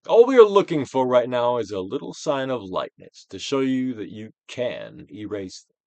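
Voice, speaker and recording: A man giving a dramatic, deliberate reading of a self-help book as a guided exercise, with pauses and big swells. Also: mid-range voice, very animated, vocal fry, a little mellow, dry recording. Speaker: audiobook reader and actor.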